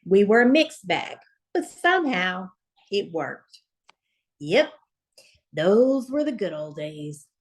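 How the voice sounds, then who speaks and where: A woman reading a children's picture book aloud as a storyteller, with dramatic, deliberate phrasing and emphatic pauses. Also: mid-range voice, sing-song.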